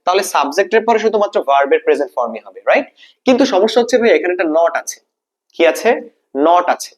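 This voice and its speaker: A man's voice: sits high, big pitch swings, fast. A man with a Bangladeshi accent teaching a grammar lesson step by step, asking questions, repeating key points and mixing in English terms. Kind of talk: exam-prep grammar lesson, explaining step by step.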